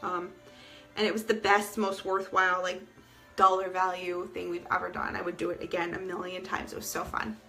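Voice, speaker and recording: Sick lady livestreaming, voice going soft and hoarse, bright tone, rambling gushy chat. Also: on the low side, level tone, moderate pace, soft background music, rough recording. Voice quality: soft-spoken, fading voice. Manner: chatty and gushing, many fillers. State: sick, losing her voice. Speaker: livestream host. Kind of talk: casual chat to viewers.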